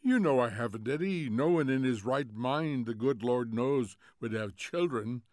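A man's voice: high voice, very animated, a little slow, fairly bright tone, clean tone, no room sound, studio quality, voice pushed up, full character voice. A man voicing a brash, irritable housewife with a tart, dismissive manner in an audiobook. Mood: tart, irritated. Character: brash, callous, irritable housewife and mother-hater. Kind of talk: audiobook character voice.

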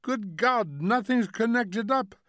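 An agitated husband from a storybook reading, acted by a man. He presses with urgent, incredulous questions, his pitch raised in frustration. The sound is dry and close. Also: expressive, no echo, lightly acted. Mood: exasperated, agitated. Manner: rapid-fire incredulous questioning, shouting when frustrated.

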